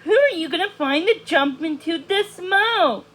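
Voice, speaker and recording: A woman's voice: very high voice, lively intonation, unhurried, a bit bright, voice pushed up, heavily acted. A woman voicing a small, nervous male frog in a storybook, indignant and full of protest. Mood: incredulous. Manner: protesting, exclamatory.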